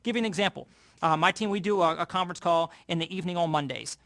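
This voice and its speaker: A man's voice: sits high, quick pace, a bit bright. A man giving a motivational sales coaching talk as a team leader, speaking emphatically and repeating key phrases.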